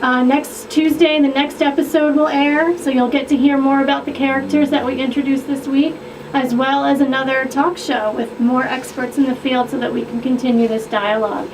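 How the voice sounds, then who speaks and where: A woman's voice: high-pitched, little pitch movement, normal speed, distant mic. A woman explaining her group's project on a radio talk show, enthusiastic and chatty. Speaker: guest expert. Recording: radio studio, off-mic, noisy.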